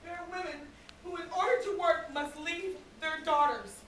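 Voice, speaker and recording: A woman performing a solemn dramatic monologue on stage, deliberate and with dramatic pauses. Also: extremely high pitch, a bit bright. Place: theatre stage, recorded from the audience. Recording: echoey hall from far off, faint noise.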